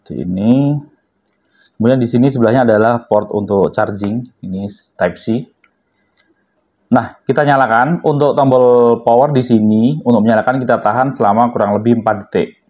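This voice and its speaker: A man's voice: medium pitch, moderate pace. A man speaks in a dull, lo-fi recording, as in a homemade review video. He talks informally to his viewers, explaining things step by step in a casual unboxing style. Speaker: product reviewer, vlogger. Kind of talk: casual unboxing and product demonstration.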